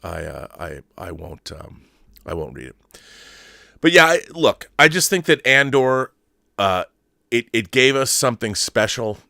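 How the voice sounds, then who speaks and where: A man's voice: mid-range voice, sing-song, unhurried, vocal fry, bright, forceful tone, dry recording, clean studio sound. A middle-aged man hosting a fandom livestream with casual, enthusiastic commentary, rambling and repeating words as he thinks. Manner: enthusiastic, opinionated fan. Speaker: host of a film fandom livestream. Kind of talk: casual commentary, answering viewer messages.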